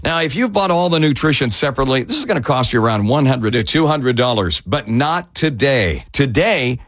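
A man hosting a radio infomercial, delivering an emphatic scripted sales pitch. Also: a bit bright, dry recording, studio quality.

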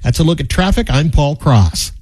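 A man reads a radio traffic report and sponsor message in a brisk, scripted delivery. His voice is mellow and husky, with faint music underneath. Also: fairly high pitch, sing-song.

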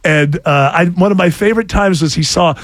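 A man's voice: sits high, a little fast, no echo, studio quality. A man hosting a casual talk show, rambling and restarting his sentences, laughing often. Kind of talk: casual banter.

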